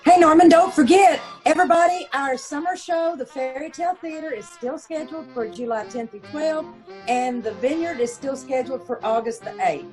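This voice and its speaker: A woman with a high voice hosts a theater troupe's show. She speaks informally and in a friendly way, as if greeting regular listeners. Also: moderate pace, a little mellow, faint music behind.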